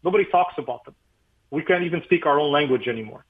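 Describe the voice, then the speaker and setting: A man's voice: on the high side, expressive, fast talker. Brief: male pastor, Arabic native speaker, lecturing guest, tinny phone-line sound. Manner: emphatic, lecturing, many fillers. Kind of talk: radio interview, explaining history.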